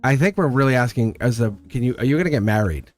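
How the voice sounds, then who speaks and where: A man's voice: medium pitch, expressive, fast, faint music behind. Chatty upbeat man reading tarot. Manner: casual, upbeat, chatty, laughs often. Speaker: tarot reader. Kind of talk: live-stream tarot reading.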